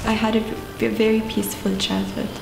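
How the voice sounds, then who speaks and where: A woman's voice: a little high, little pitch movement, normal speed, gravelly. A young woman from Lebanon, telling her own story in a documentary interview style. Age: young adult. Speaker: pop group singer. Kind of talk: personal documentary interview narration. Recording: roomy sound under loud music. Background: loud music.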